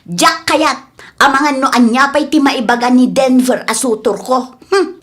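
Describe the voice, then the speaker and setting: A woman's voice: sits high, unhurried, fairly bright tone. A woman playing a scorned young woman in an Ilocano comedy drama, exclamatory and sarcastic in manner. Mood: mocking, dismissive.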